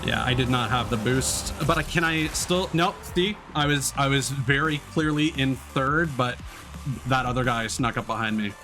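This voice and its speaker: A man's voice: mid-range voice, normal speed, pushed and bright. Sweary male streamer over game music. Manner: sweary, grumbling. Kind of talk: live gameplay commentary. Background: racing game music and engine sounds.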